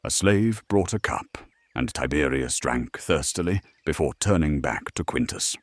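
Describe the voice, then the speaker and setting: A man's voice: extremely low pitch, expressive, a little slow, no echo. A man narrating a scripted drama in a storytelling style.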